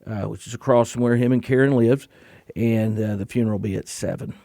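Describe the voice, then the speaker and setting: A man who is a high school principal, chatting in a casual and encouraging way as he interviews students on a radio show in a studio. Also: fairly even intonation, a little fast, vocal fry, warm tone.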